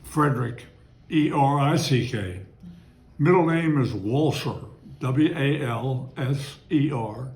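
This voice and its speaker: A man's voice: medium pitch, slow, darkish tone, echoey room. Rambling man in his nineties. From Maryland, US. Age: 90s. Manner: rambling, digressive, chuckles while recalling. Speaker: interviewee. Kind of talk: oral history reminiscence.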